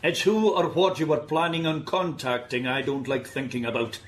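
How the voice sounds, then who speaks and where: An actor playing a stern, suspicious village constable in a radio drama, giving clipped commands. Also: male voice, on the high side, steady tone, normal speed, natural voice. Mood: stern, commanding. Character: stern, suspicious male village constable guarding his community.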